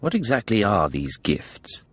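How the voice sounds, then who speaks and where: An actor playing a cool, wry secret agent in a video game, terse and controlled. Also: male voice, fairly low pitch, wildly swooping pitch, measured pace, fairly bright tone, slightly rough, no echo, soft background music, heavily acted. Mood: cool, wary.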